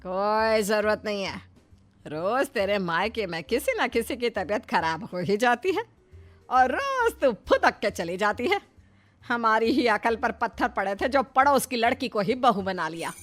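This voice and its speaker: A woman's voice: fairly high pitch, sing-song, measured pace, fairly bright tone, soft background music. A woman voicing a scolding, sarcastic middle-aged mother-in-law in a moral story, taunting and nagging. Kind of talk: animated Hindi moral story dialogue.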